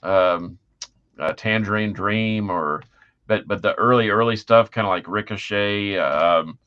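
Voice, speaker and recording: Middle-aged male podcast co-host, bright edge to a low voice, informal and keen, lo-fi sound. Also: a little slow, smooth. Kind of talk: casual music chat.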